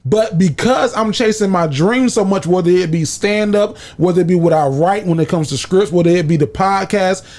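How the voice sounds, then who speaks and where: Young host, high voice, rambling, restarts a lot. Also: male voice, normal speed, hardly any pauses, darkish tone. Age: 20s. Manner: rambling, restarts often, laughs easily. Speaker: podcast host. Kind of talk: casual podcast chat.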